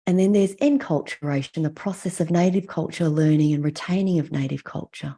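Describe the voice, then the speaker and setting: Middle-aged woman, Australian accent, soft dark tone, breathy husk, deliberate phrasing, reflective personal talk. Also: deep voice. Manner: measured, deliberate, pausing between phrases. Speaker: mental health clinician giving a talk.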